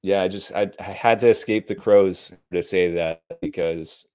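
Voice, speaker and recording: A man's voice: low voice, moderate pace, darkish tone, clean tone. A man speaking as a guest on a casual live-stream chat, earnest and rambling, enthusiastic and swearing casually. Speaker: podcast guest.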